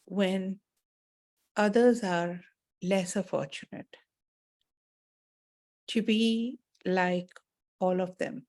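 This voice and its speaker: A woman speaks as a meditation teacher, guiding listeners through a spiritual talk. Her delivery is very slow and measured, with deliberate gaps between short phrases. The tone is relaxed and mellow at a medium pitch, giving a soothing manner.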